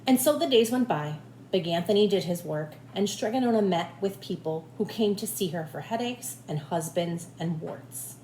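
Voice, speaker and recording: A woman reading a storybook aloud like a teacher, with expressive, deliberate phrasing for children. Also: lowish voice. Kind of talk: storybook read-aloud narration. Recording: echoey room, faint background noise.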